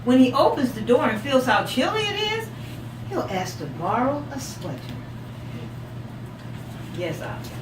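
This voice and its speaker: Female classroom storyteller, high animated delivery, encouraging and expressive, captured from a distance in a noisy room. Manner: encouraging, repeats children's answers; expressive, drawn-out emphasis on story words. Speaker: teacher reading a picture book to young children. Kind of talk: interactive read-aloud storytelling with questions. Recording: echoey room, distant mic, loud background noise.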